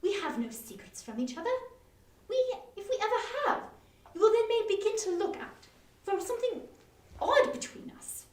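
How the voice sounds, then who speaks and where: Woman acting a theatrical Victorian husband, high and swooping. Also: sing-song, moderate pace. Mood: bantering. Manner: theatrical, old-fashioned gentlemanly speech. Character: jovial, teasing Victorian husband, theatrical and devoted. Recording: roomy, distant-mic live online reading.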